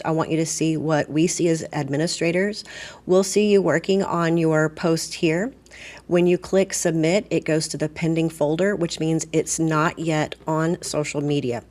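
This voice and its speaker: A woman explaining software step by step in a conversational way, like a staff trainer walking through a screen. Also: sits low. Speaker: school administrator training staff. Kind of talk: informal software tutorial, step-by-step screen walkthrough.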